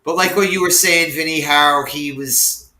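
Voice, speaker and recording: A man with a slightly raspy voice hosts a casual group chat over a video call. His voice sits a bit high and bright, laughing easily and swearing freely. The echoey room rings around his voice. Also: fairly even intonation, normal speed. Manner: laughing, loose and profane. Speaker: podcast host.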